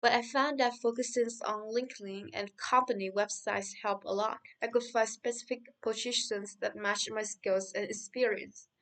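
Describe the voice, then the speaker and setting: A woman's voice: a little high, fairly even intonation, moderate pace, few pauses, fairly bright tone, far from the mic. A woman playing a practical young finance worker. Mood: calm. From Thailand. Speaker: guest. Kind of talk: scripted interview for English learners.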